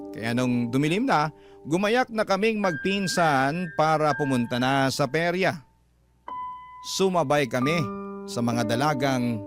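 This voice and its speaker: A man narrating a first-person story as a radio host, in a dramatic storytelling style. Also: a little high. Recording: background music bed.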